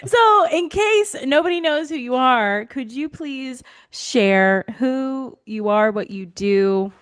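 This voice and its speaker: Female interview host, bright and upbeat, fairly high pitch, takes her time. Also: lively intonation, slow, clear, resonant tone. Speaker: host and beauty business coach. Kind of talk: interview hosting.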